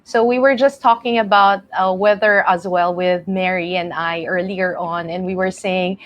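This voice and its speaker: A woman's voice: on the low side, fairly even intonation, normal speed, dry recording, lo-fi sound. Woman host from the Philippines, chatty, laughs easily, dry close mic. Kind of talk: online panel talk hosting.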